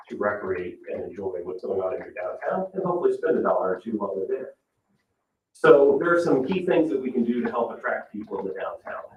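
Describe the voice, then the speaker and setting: A man giving a measured, explanatory public presentation as a landscape architect at a meeting, with somewhat hesitant phrasing. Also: medium pitch. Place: indoor public meeting room. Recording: roomy, distant mic, dull sound with noise.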